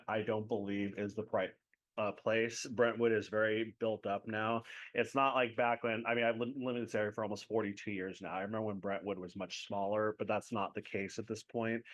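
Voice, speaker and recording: Middle-aged male caller, deep-ish, blunt, critical, a little halting; phoned in. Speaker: public commenter. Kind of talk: public comment at a council meeting. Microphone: phone call.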